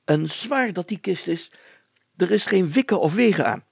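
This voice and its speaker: Man playing a gravelly, excitable storytelling mayor. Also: high voice, normal speed, dry recording, full character voice. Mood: emphatic, secretive. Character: excitable, secretive village mayor, keen storyteller. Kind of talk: acted audiobook dialogue.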